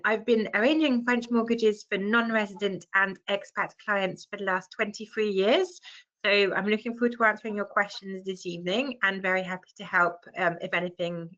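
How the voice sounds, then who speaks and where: A woman's voice: medium pitch, a bit bright. Friendly mortgage broker woman. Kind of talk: panel introduction. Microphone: video call. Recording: muffled video call audio.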